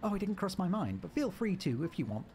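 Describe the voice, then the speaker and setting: A man's voice: high-pitched, big pitch swings, fast talker, a little mellow, a little hiss or hum, voice pushed up. A man voicing a courteous, reserved young man in a video game, reading his lines in a raised voice. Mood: polite.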